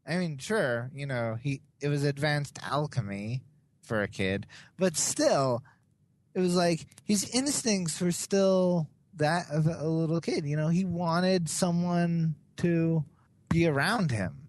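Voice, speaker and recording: A young man with a fairly high voice talks through a show in casual podcast chat. His pitch swings about a lot, and he sounds earnest and enthusiastic, with plenty of fillers. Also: expressive, slow pace. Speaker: podcast co-host.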